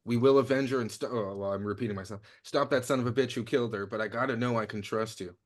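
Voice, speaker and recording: An earnest secret agent read by a man with a medium-pitched voice. He is plainspoken and a bit halting, the serious, uneasy partner in a comedy action script. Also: fairly even intonation, close to an everyday voice. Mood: earnest, wary. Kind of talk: virtual table read of a comedy screenplay.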